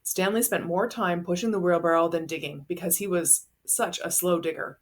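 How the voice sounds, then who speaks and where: A woman's voice: lowish voice, fairly even intonation, moderate pace. Woman reading a novel aloud. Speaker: read-aloud narrator. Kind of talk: children's novel read aloud.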